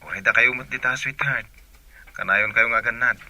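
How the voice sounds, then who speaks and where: A very bright, forceful young seaman, earnest and emphatic. He is a devoted sweetheart in a radio drama, quick to protest, with a mid-pitched voice. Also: steady tone, low-quality recording. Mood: reassuring.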